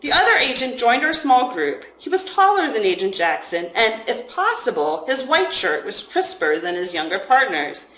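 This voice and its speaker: A woman's voice: fairly high pitch, very animated, moderate pace, hardly any pauses, fairly bright tone. A woman reading her own story aloud as a first-person narrator. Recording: roomy, echoing room sound.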